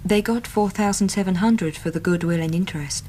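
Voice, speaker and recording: An actress plays a young Victorian working woman in an English radio drama. Her voice sits fairly low, and she talks in an earnest, chatty, plain-spoken way. Faint noise lies behind her. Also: a little fast, warm tone, lightly acted. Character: earnest, talkative young female Victorian typist seeking help.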